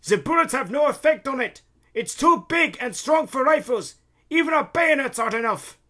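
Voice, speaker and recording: A man's voice: very high-pitched, animated, normal speed, pushed and bright, voice pushed up, full character voice. A man voicing panicked soldiers and gatekeepers crying out urgent warnings in a storybook reading. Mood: alarmed.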